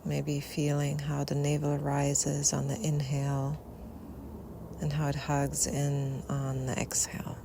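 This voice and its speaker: A woman leads a guided meditation in a low, gravelly voice, very slowly and softly. Long pauses separate her phrases, which often fade into breathiness. She is recorded outdoors, with faint natural ambience behind her. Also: completely flat delivery, background noise. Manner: soft, unhurried, with long pauses. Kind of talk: guided Yoga Nidra meditation.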